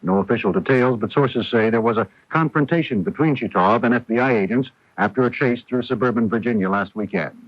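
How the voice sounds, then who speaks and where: A man's voice: mid-range voice, a little fast, hardly any pauses, no room sound. An American man anchoring a scripted radio newscast, on an old broadcast recording. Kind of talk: scripted news narration. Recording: dull lo-fi 1980s radio broadcast.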